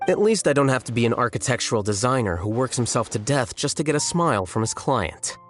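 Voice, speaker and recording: A young man voicing a cool, smug, logical scholar in a video game, measured and deadpan. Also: medium pitch, natural voice. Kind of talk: understated video game voice acting. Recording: studio voice over game music. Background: game music.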